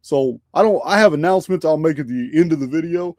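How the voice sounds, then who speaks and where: A man's voice: on the high side, fast talker, darkish tone, dry recording, clean studio sound. A man hosting a live online show, chatting casually and often restarting his sentences. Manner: rambling, repeats and restarts phrases. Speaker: podcast host. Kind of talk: casual live-stream chat. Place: home studio.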